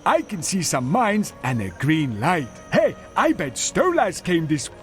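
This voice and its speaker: Childlike, dimwitted little cartoon fellow, very animated, unhurried, faint music underneath. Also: male voice, a little high. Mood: playful, curious. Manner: naive, childlike, dopey. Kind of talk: cartoon video game acting.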